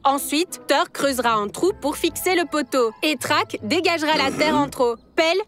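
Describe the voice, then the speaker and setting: A woman's voice: high-pitched, moderate pace, a bit bright, slight background noise. A woman voicing a clever, eager young boy who leads his friends and confidently explains plans in a dubbed cartoon. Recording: cartoon music score behind.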